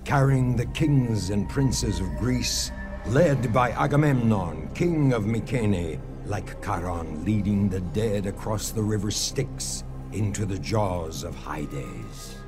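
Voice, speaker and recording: An actor playing a solemn storyteller, narrating an ancient war epic for a video game in a grave, theatrical way. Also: male voice, lowish voice, expressive, slow. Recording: music behind.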